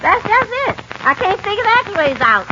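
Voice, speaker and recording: A man's voice: very high voice, big pitch swings, normal speed. A man playing a big, complaining comic sidekick in 1950s radio comedy, with a plaintive, folksy delivery. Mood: woeful. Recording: muffled, noisy 1950s radio recording.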